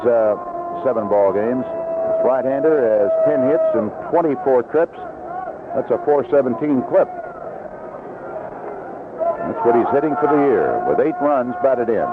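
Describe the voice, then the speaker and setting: A North American man calling baseball play-by-play as a live radio announcer. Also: medium pitch. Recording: tinny, noisy vintage AM radio broadcast.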